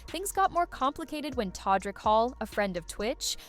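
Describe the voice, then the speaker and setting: Woman, scripted gossip narration, dramatic, lively intonation, faint music behind. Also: on the high side, moderate pace. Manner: dramatic, suspense-building, poses questions.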